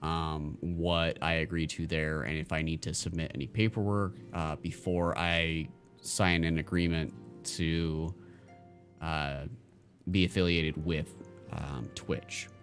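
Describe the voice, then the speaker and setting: A male streamer in casual live-stream chat, answering viewers in a halting, think-aloud way. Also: extremely low pitch, steady tone, fairly bright tone, soft background music.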